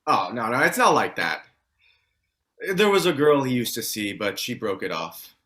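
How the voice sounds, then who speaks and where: A male actor as an anxious, protective family man in a dramatic table read. His pitch moves up and down in a lively way as he speaks, at a middle register. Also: normal speed. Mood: conversational. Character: John, anxious protective husband and father.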